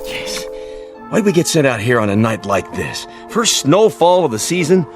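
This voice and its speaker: Curious patrolman, animated delivery. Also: mid-range voice, moderate pace, music in the background. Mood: grumbling, unsure. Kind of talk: naturalistic radio drama acting.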